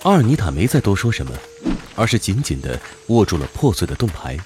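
A man with a fairly low voice as storyteller in an audio drama. He delivers scripted narration with lively intonation, over clear music. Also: fast talker. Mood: narrating. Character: third-person storyteller.

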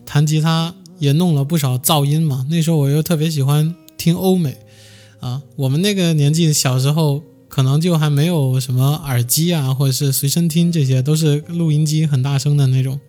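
A man's voice: fairly high pitch, steady tone, normal speed, dark tone, faint music behind. A man speaking casually as a musician being interviewed, with a modest, easygoing manner.

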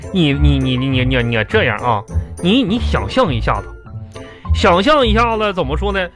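A man playing a fussy, excitable, boastful middle-aged company boss in a comic radio sketch, with a Northeastern Chinese accent and a bossy, repetitive, exasperated way of talking. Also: on the high side, normal speed, over music. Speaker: comedy radio drama host and actor.

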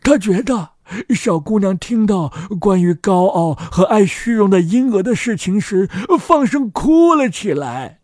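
A man narrates a fairy tale expressively from a script. His mid-pitched voice is husky and very animated in intonation, with a dry, close sound. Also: unhurried, few pauses, no room sound.

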